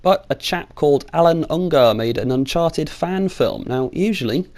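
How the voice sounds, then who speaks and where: A man's voice: on the high side, expressive, normal speed, darkish tone. A British man hosting an online video, giving informal, opinionated commentary with a sardonic streak and some mild swearing. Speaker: video host. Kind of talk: scripted opinion commentary on video game films.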